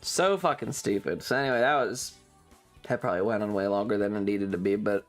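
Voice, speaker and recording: A man's voice: fairly low pitch, a little fast, faint music behind. A man chatting casually as a streamer, telling stories in an exasperated, rambling, sweary rant. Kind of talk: casual stream chat, ranting storytelling.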